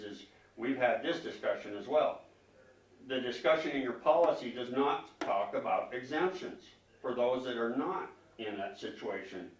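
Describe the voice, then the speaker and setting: A man speaking as a concerned citizen at a public meeting, arguing emphatically and haltingly, often repeating words as he questions officials. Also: mid-range voice. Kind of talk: public comment, argumentative questioning. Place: public meeting room, indoors. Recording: echoey room, distant microphone.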